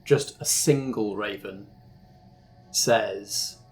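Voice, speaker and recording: A man's voice: fairly low pitch, very slow, a little mellow. A man running a tabletop game, answering with short approving replies. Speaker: game master. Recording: roomy echo, faint music.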